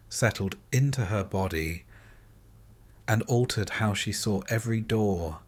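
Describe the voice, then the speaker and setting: A man narrates a scripted bedtime story in a calm, soothing, measured way, in deliberate short phrases with pauses. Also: on the low side.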